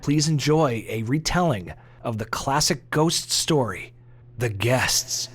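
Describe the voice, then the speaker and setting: A man's voice: mid-range voice, expressive, slow, a bit bright, breathy edge. A man working as a podcast host, introducing guests and bantering casually. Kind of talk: casual show hosting and banter.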